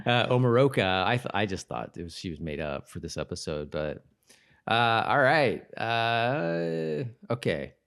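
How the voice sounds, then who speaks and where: A man co-hosting a podcast, chatting casually about a TV show in a halting way that repeats and trails off. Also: medium pitch, very animated, vocal fry, fairly bright tone, smooth. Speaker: podcast co-host.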